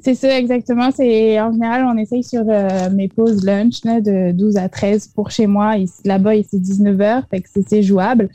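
A woman's voice: medium pitch, little pitch movement, moderate pace, hardly any pauses, dark tone, highs cut off. A young woman from France with a French accent tinged by Quebec, answering interview questions, hesitant, with many fillers and restarts. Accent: French with Quebec influence. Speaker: guest.

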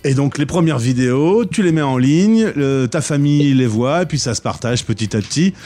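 A man from France interviewing a guest, asking brisk, teasing questions. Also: mid-range voice, expressive, mellow, soft background music. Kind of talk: radio interview.

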